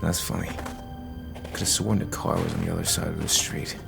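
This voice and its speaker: An actor playing a bitter, stubborn, brooding male vigilante in an audio drama, with a low, gravelly voice and a terse, grim, halting delivery. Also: wildly swooping pitch, over loud music, full character voice. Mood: weary, brooding.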